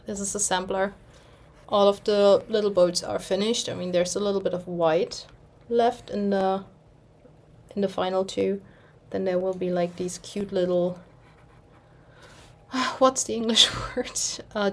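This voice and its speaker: A young German woman chatting casually in English, rambling a little and pausing to search for words as she shows her stitching projects. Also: medium pitch. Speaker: cross-stitch vlogger. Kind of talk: casual chat.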